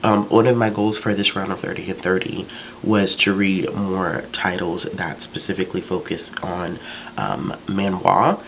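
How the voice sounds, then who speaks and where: A man with a low, deep voice talks through his recent reads in an easygoing, chatty way, often pausing on "um" and "like". The recording has a faint hiss of background noise. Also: creaky. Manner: chatty, relaxed, with fillers like "um" and "like". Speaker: book vlogger. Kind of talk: casual book review vlog.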